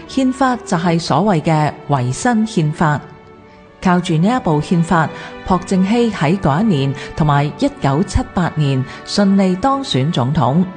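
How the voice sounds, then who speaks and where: A woman's voice: lowish voice, a little mellow. A woman with a Hong Kong Cantonese accent reads scripted history narration for a radio programme. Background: music behind the voice.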